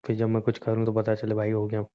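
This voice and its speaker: A man's voice: lowish voice, completely flat delivery, fast. Dull lo-fi recording, teasing young man. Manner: teasing, pushy, informal. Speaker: boyfriend in a couple's conversation. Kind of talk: casual intimate couple chat in Hindi. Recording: dull, lo-fi call-style recording.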